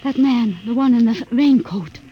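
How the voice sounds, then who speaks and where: A faintly noisy old radio recording of an actress playing a frightened young wife. Her voice is high, and she speaks in an anxious, watchful way in period drama style. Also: moderate pace, lo-fi sound, lightly acted. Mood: uneasy. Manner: anxious, tense delivery.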